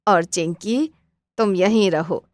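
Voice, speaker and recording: Woman playing a slow, patient cartoon grandmother. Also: medium pitch, no echo, natural voice. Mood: patient, gentle. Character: kindly grandmother doing the household laundry. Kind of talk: children's cartoon dialogue.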